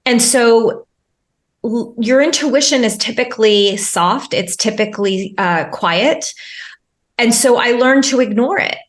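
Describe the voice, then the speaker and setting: A woman's voice: on the high side, measured pace. A woman who works as a life coach, a guest on a podcast, explaining at length with lots of fillers, restarts and repeated words. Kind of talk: podcast interview.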